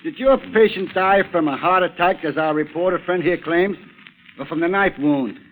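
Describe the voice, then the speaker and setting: A man's voice: a little high, normal speed, close to an everyday voice. A man playing a pompous, exasperated homicide lieutenant in an old radio drama, barking questions with great self-importance. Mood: stern, accusing. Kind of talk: 1940s radio drama acting. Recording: noisy vintage radio recording.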